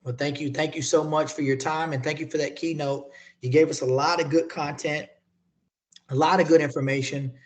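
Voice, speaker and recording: Male host, slightly high voice, stumbling, repeats words, video call. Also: fairly even intonation. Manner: halting, repeats words, many fillers. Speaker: event host and moderator. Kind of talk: hosting a virtual event.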